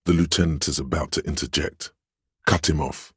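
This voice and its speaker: An actor playing a stern, proud inner voice of authority in a video game, narrating in a grave, measured, commanding manner. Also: male voice, a bass voice, steady tone, a bit bright, slightly rough, no room sound, studio quality, full character voice. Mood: shrewd, insistent. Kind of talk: dramatic game narration in second person.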